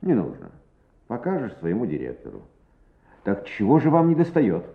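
An actor playing an important, grey-haired education official in a radio play, measured, patient and encouraging. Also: male voice, on the low side, wildly swooping pitch, vocal fry, exaggerated voice. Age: older. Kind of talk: Soviet radio play acting. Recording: tinny, echoey old radio play recording.

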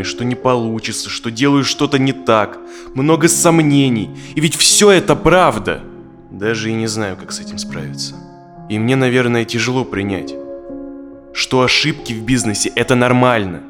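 A man's voice: medium pitch, moderate pace, a bit bright, natural voice. An actor playing an anxious, self-doubting businessman in an audio drama, speaking reflectively and dramatically as a therapy client. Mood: troubled. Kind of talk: dramatized audio play acting. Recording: music behind the voice.

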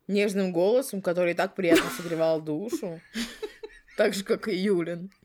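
A woman's voice: lowish voice, moderate pace, a little mellow. A woman co-hosting a podcast, moving between casual chat and reading story narration, playful, teasing and given to swearing. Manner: playful, teasing, foul-mouthed. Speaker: podcast co-host.

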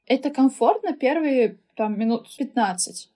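A young woman with a fairly high, soft-edged mellow voice. She talks as an interview guest in a casual, conversational way, explaining herself with everyday examples and slang. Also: expressive, measured pace, slightly rough. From Russia. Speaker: podcast guest.

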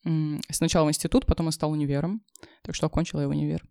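A young woman, an English teacher, telling her story in a casual chat, with many fillers and quick laughs. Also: sits low, flat delivery, gravelly, mellow, clear, resonant tone, no room sound, studio quality. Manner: fast, chatty, lots of fillers and laughs.

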